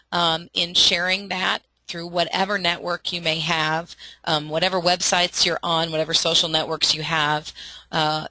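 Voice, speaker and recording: Bright-voiced woman moderating a webinar. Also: fairly low pitch, dry recording, low-quality recording. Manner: earnest, relays audience questions.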